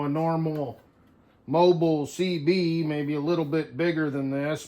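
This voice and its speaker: Male video host, somewhat higher-pitched voice, informal hands-on show-and-tell, rambling and thinking out loud.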